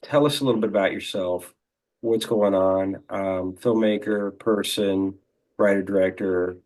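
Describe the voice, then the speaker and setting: A man's voice: deep voice, steady tone, a little slow, darkish tone, rough recording. A man hosting a film interview show, talking in an informal, encouraging way.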